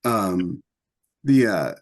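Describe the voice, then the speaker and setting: A man's voice: low-pitched, lively intonation, extremely slow. A man chatting casually on a podcast panel, often hesitating and repeating himself as he goes. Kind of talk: casual chat.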